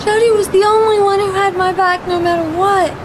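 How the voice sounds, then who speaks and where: A woman's voice: very high-pitched, fairly even intonation, fairly quick, background noise. An actress playing a grief-stricken, bitter young woman in a horror audio drama, with a tearful, emotional delivery. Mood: despairing, defiant. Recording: music behind the voice.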